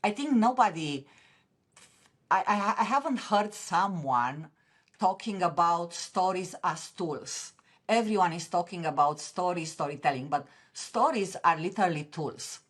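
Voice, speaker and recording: Romanian-accented woman on a podcast, lowish voice, passionate, keeps restarting sentences. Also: lively intonation, unhurried. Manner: earnest, passionate, with frequent restarts. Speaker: podcast guest, author. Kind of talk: interview conversation.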